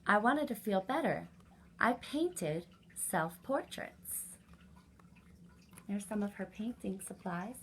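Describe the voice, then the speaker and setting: Bright-voiced woman hosting children's story time. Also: medium pitch, slight background noise, low-quality recording. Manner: animated, addresses children directly as friends. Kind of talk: children's story time read-aloud and chat.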